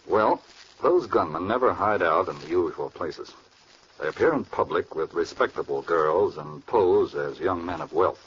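An actor playing a resolute, methodical FBI agent in an old radio crime drama. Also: male voice, sits low, animated, moderate pace, a bit bright, husky, full character voice. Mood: earnest. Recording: muffled, noisy old radio recording.

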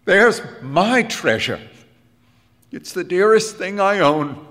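A man's voice: high-pitched, wildly swooping pitch, slow, husky, voice pushed up, exaggerated voice. An earnest, emotional band teacher, played by a male storyteller, pleads in a voice that climbs and swoops. He speaks unhurriedly, and a long reverb trails each word. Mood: impassioned. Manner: impassioned, pleading.

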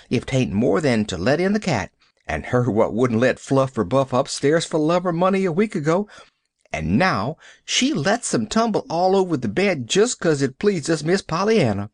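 A man's voice: a little high, no room sound, studio quality, voice pushed up. A man doing a gossipy country housemaid. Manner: rustic dialect, gushing.

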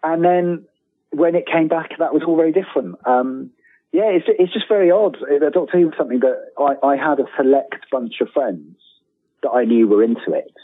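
A man's voice: fairly high pitch, normal speed, no echo. A middle-aged man talking casually as an interview guest, rambling, self-deprecating and enthusiastic, over a thin phone-like line.

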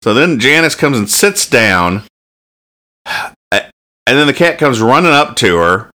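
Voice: medium pitch, very animated, measured pace, pushed and bright. A podcast host chatting casually and jokingly about comic strips, often restarting as thoughts form.

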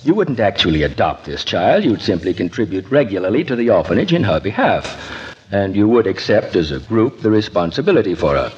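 Gentle, low-voiced 1940s radio preacher. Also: male voice, very animated, fairly quick. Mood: gentle, earnest. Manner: polite, hesitant, formal, gently persuasive. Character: kindly, earnest male preacher, mild and courteous. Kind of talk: 1940s radio comedy acting. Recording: dull, faintly noisy 1940s radio recording.

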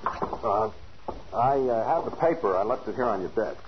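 Actor as a bitter husband, low swinging voice. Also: male voice, animated, moderate pace, close to an everyday voice. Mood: weary, controlled. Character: bitter, suspicious, wounded husband in a suspense thriller. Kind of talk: 1940s radio thriller acting. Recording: muffled 1940s radio recording, faint noise.

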